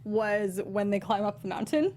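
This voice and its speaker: A woman's voice: medium pitch, normal speed, a little mellow. A young woman co-hosting a casual, conversational movie discussion. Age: young adult. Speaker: podcast co-host. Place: small indoor talk-show studio. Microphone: studio microphone with red windscreen, headset monitoring. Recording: clear background noise.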